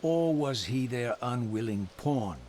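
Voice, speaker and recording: An actor playing a tormented male wraith in a dark fantasy game, speaking with grave, formal, coldly scornful eloquence. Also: medium pitch, expressive, slight background noise. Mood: coldly probing. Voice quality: grave, theatrical delivery. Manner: formal, deliberate, archaic eloquence. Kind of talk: dramatic video game voice acting.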